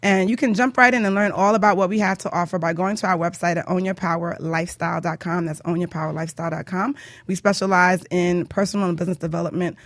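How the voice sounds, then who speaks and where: A woman's voice: fairly low pitch, steady tone, fast, dry recording. A woman hosting a talk show in casual radio chat, chatty and informal. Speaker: host of a talk show, business coach.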